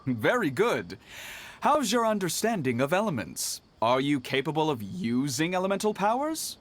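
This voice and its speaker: A man's voice: a little high, sing-song, moderate pace, a little hiss or hum. An actor voicing a helpful, talkative male clerk who explains procedures. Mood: businesslike. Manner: businesslike, explaining at length.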